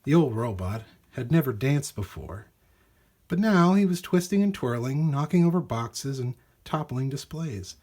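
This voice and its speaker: A man reading a children's storybook aloud in an animated, expressive way with dramatic emphasis. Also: mid-range voice, a little slow. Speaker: storybook reader.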